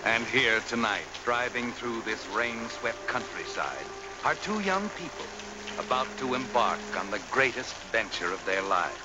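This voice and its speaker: An actor playing a mysterious, all-knowing narrator of dark tales in an ominous, theatrical 1940s film noir style. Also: male voice, medium pitch, lively intonation, measured pace, heavy background noise, lightly acted. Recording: old 1940s film soundtrack, loud music.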